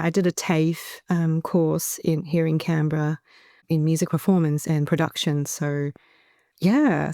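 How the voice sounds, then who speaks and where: A woman in her thirties from Taiwan, an English speaker, talking in a soft-spoken, thoughtful way as an interview guest. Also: on the low side, creaky, dry recording, studio quality. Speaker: singer-songwriter guest. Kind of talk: relaxed interview chat.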